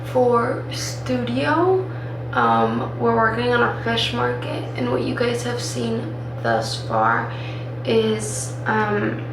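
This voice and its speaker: A woman's voice: on the high side, sing-song, slow. A twenty-year-old woman, an architecture student, chatting casually in a rambling way while doing her makeup, filling her talk with "like.". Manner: rambling, filler-heavy with lots of "like". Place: indoors, doing makeup in a room. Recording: echoey room, distant mic, background noise.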